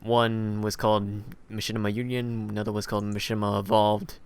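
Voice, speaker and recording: Low, clear-voiced man hosting a podcast. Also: flat delivery, brisk. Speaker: podcast host. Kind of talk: casual podcast chat.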